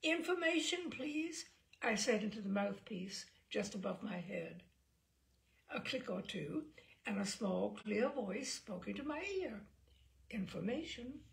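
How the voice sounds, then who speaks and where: A woman reading a story aloud as scripted narration, in an expressive, measured storytelling manner. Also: mid-range voice, vocal fry, rough recording. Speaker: story reader.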